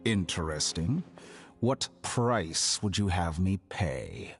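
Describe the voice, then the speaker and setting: An arrogant scholar villain for a video game, with a low voice that swings expressively through measured, unhurried phrases. He sounds coolly amused, formal and condescending. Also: big pitch swings, soft background music, heavily acted. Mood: intrigued, condescending. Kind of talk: theatrical video game voice acting.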